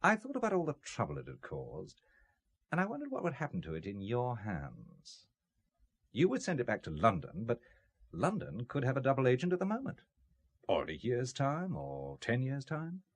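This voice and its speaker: Sardonic, bitter businessman, very expressive. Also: medium pitch, husky, heavily acted. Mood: grave, triumphant. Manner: dry, sardonic, deliberate. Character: bitter, principled businessman blackmailed into espionage. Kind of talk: audio drama acting.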